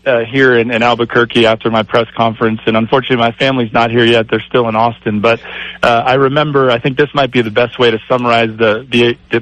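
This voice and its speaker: A middle-aged man, an athletic director guest on a radio show, speaking with a raspy voice in a grateful tone with many fillers. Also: mid-range voice, a bit bright, dry recording, rough recording. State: raspy from screaming. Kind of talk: phone-in radio interview.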